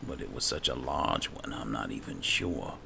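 A man's voice: a bass voice, lively intonation, normal speed, vocal fry, background noise. A man roleplaying a character in a game playthrough, narrating casually and without hurry. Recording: background music under the voice. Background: game music.

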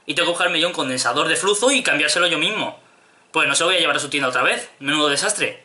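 A man's voice: a little high, expressive, fast, pushed and bright, a little hiss or hum. A man in his twenties with a Spanish accent from Spain, making a prank phone call with insistent, straight-faced complaints. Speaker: prank caller. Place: small home room.

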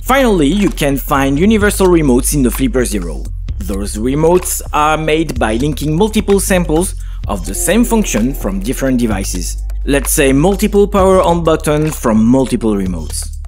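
Man narrating a tutorial with lively pitch. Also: moderate pace, faint music behind. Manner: clear step-by-step instructional delivery.

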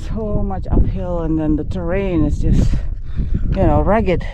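Slow-talking middle-aged woman hiking on windy moors. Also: on the low side. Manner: breathy exclamations, thinking aloud. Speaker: solo long-distance hiker. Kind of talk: first-person hiking vlog narration. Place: open windy moorland outdoors. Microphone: camera held at arm's length. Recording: dull outdoor camera audio with wind noise.

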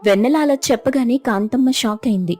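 Woman, story narrator, highish pitch, very mellow timbre, scripted delivery. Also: fast, breathy edge, faint music behind.

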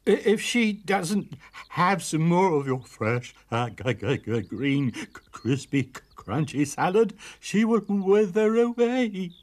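Timid, devoted fairy-tale husband, played by a male narrator, high wavering pitch, stammering, pleading. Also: big pitch swings, slow pace, exaggerated voice. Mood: terrified, pleading. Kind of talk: storybook character voice.

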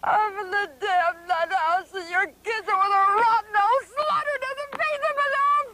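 A woman's voice: very high-pitched, moderate pace, bright, forceful tone. An actress playing a troubled young mother, guarded and wry, who breaks into raw grief. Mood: anguished. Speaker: patient on leave. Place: outdoors, cemetery; indoor meeting hall.

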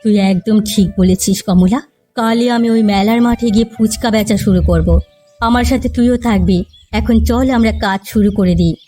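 A woman's voice: mid-range voice, normal speed, warm tone, faint music behind, lightly acted. A woman playing a proud rich housewife fallen on hard times in a melodramatic cartoon tale, plaintive and dramatic. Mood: resolved.

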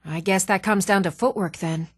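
An actress playing an even-toned, earnest young townswoman in a fantasy video game, with measured delivery. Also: mid-range voice, breathy edge, no room sound, clean studio sound, lightly acted. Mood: subdued. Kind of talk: video game character voice lines.

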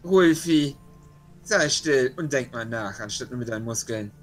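A man's voice: medium pitch, animated, moderate pace, soft background music. A man taking part in a tabletop role-playing game, switching between in-character speech and casual chat.

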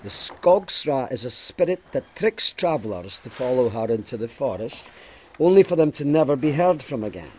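A man's voice: mid-range voice, very animated, moderate pace, a little mellow. An actor playing a stern, pious and haunted police sergeant in an audio drama, formal and emphatic in his speech. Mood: brooding.

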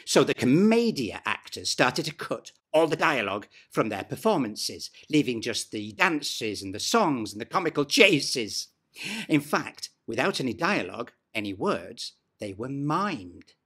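Playful middle-aged man, wildly swooping pitch. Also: big pitch swings, unhurried, a bit bright, breathy edge. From Britain. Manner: playful, exaggerated, sweeping pitch swings. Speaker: storyteller and pantomime dame. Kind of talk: scripted explainer narration. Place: small dressing room, indoors.

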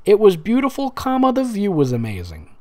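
A man's voice: sits high, huge pitch swings, a little fast, darkish tone. A man reading amateur fan fiction aloud sarcastically, pointing out typos and breaking off for casual commentary.

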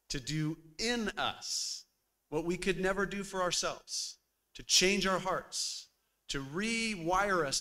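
A man preaches from a church pulpit, talking to the congregation in a relaxed, conversational way. His voice is bright and forceful and sits a little high for a man. He speaks into a boom mic on a stand. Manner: lively and conversational, with frequent uh fillers. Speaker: pastor. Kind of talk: sermon.

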